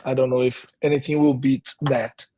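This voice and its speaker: Brazilian pastor guest, 30s, husky voice, mid pitch, earnest and reflective. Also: male voice, level tone, normal speed, dark tone. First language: Portuguese. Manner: earnest, reflective, many restarts. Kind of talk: conversational interview.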